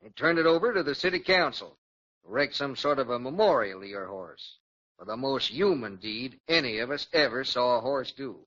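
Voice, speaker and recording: Earnest, courteous Texas councilman; folksy 1940s radio play, forceful tone, dull old recording. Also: medium pitch, animated, normal speed. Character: earnest, plain-spoken Texan city councilman, respectful.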